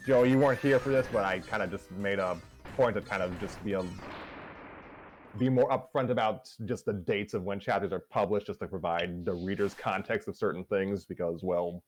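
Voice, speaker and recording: A man hosting a casual story reading, breaking off into halting asides. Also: lowish voice, soft background music. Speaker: host and reader of the story.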